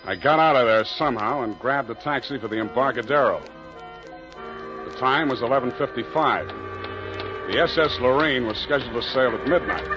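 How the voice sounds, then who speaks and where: An American actor playing a wry, hard-boiled private detective, narrating deadpan in a 1940s radio drama. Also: male voice, medium pitch, moderate pace, lightly acted. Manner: deadpan, wisecracking. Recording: muffled old radio recording, music.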